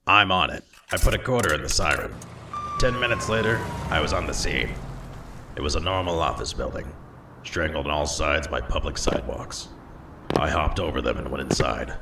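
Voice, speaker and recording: A man acting a zealous, self-righteous police detective, narrating a noir parody with deadpan, dramatic emphasis. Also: rumbling low, normal speed, gravelly, very noisy, exaggerated voice. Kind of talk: hardboiled first-person noir parody narration. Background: music.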